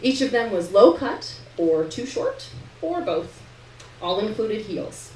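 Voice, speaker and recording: A woman reads first-person fiction aloud with expressive, dramatic delivery. Her pitch is mid-range, and she sounds a little way from the mic in an echoey room, with faint noise in the recording. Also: takes it slowly. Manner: dramatic delivery, acting out dialogue. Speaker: reader of fiction at a live literary event. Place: indoor room at a live reading. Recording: roomy, distant live recording with noise.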